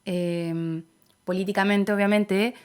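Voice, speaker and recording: A woman's voice: medium pitch, steady tone, slow. Young Chilean woman, reflective interview guest. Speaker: interview guest, political activist. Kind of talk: conversational interview, reflective opinion.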